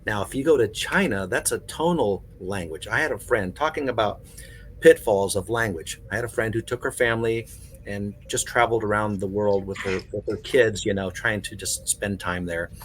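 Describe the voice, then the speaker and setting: A man in casual podcast conversation, telling stories in an animated way with plenty of conversational fillers. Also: fairly low pitch, moderate pace, a little hiss or hum. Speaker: podcast panelist. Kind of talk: casual chat.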